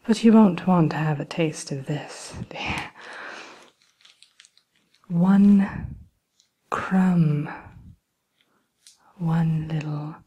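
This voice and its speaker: A woman playing a sly, lonely evil queen shopkeeper in a whispered ASMR roleplay, speaking in a coy, genteel, teasing way with quiet menace. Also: sits low, extremely slow, long pauses, full character voice. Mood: sly, proud. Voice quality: breathy, mostly whispered. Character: sly, lonely evil queen selling cursed caramel apples. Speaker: roleplay shopkeeper addressing a customer.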